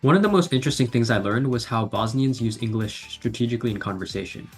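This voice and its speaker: Young guy from new jersey talking fast about a class project, low-ish voice, soft music underneath. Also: husky. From New Jersey, United States. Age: young adult. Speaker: university student. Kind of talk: reflective video monologue.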